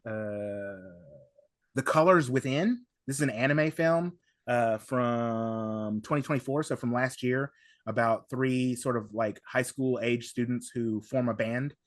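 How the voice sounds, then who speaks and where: A man with a mid-pitched voice chats casually as a podcast guest. He speaks effusively, circling back over phrases and leaning on strong superlatives. The sound is close and dry. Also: measured pace, dry recording. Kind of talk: casual podcast chat, recommendations.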